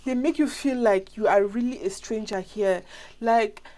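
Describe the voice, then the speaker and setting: A woman's voice: mid-range voice, measured pace, darkish tone. A young woman with a Cameroonian accent who has a flu, chatting casually and ramblingly as she addresses her viewers directly. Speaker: vlogger.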